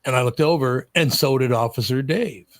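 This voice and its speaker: An older man hosting a radio talk show, emotional and tearing up, his voice going higher when moved. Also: normal speed, dry recording, studio quality. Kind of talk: casual radio chat.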